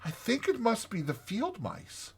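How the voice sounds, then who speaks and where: A man's voice: sits high, wildly swooping pitch, unhurried, slightly rough, pitched above the performer's own voice, full character voice. A man voicing a gloomy, kindly little mole in a storybook, with a plaintive, moaning manner. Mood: fond, proud.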